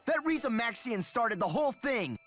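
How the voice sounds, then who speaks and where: A woman's voice: a little high, big pitch swings, moderate pace, soft background music. A woman voicing an indignant, loyal young boy from a street gang in a video game. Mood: indignant, disbelieving. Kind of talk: English video game dub acting.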